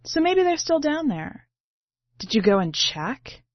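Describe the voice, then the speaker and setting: A woman's voice: sits high, very animated, unhurried, voice pushed up. A woman voicing a brash young female ice cream seller with playfully hostile, dismissive speech in a read story. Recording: muffled, roomy home recording.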